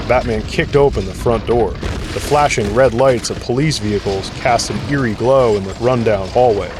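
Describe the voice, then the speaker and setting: A man reading scripted dramatic narration for an audio drama. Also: fairly low pitch. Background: music.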